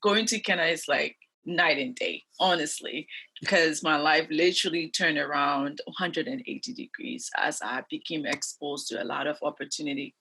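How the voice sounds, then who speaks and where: A woman's voice: low voice, pushed and bright, slightly rough. A woman in her forties from Liberia, a guest in an interview, telling her life story in an earnest, reflective way.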